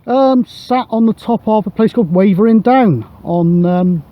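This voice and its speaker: A man's voice: high-pitched, unhurried, lo-fi sound. A British man chatting casually outdoors in an easygoing, colloquial way. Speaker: vlogger and CB radio operator. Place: outdoors on a grassy hilltop.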